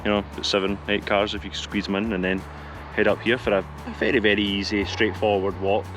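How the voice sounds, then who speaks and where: Low-voiced, easygoing Scottish man. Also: level tone, fairly quick, over music. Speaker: hiking vlog co-host. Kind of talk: casual outdoor chat. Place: mountainside outdoors.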